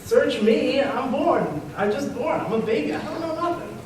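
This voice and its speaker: A woman's voice: high voice, lively intonation, normal speed. A woman playing a stubborn, know-it-all newborn baby in a stage comedy at a readers theater. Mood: cheerful, chatty. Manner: blustering, know-it-all, wisecracking. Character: cocky, stubborn newborn baby, sure it's a girl. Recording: echoey hall, far mic, clear noise.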